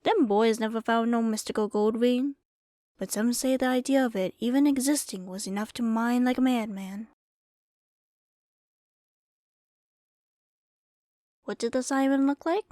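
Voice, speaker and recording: Woman voicing a folksy, high-pitched Wild West saloon keeper. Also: fairly quick, mellow, no room sound, clean studio sound, close to an everyday voice. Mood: storytelling, hushed. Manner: folksy, rambling, drawling storytelling. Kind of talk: ASMR roleplay, storytelling to the listener.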